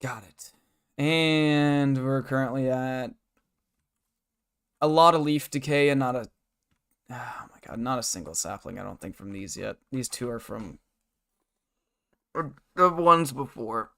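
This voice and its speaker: A hoarse man live-streaming a game from his bedroom desk, rambling and muttering to himself and his chat. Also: medium pitch, takes it slowly, long pauses, fairly bright tone. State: hoarse, scratchy throat.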